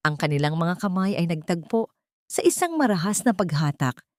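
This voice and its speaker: A woman narrates a scripted drama in a somewhat low voice. Her delivery is solemn and dramatic, and the recording is dry, with very little room sound. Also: sing-song, normal speed, darkish tone, dry recording.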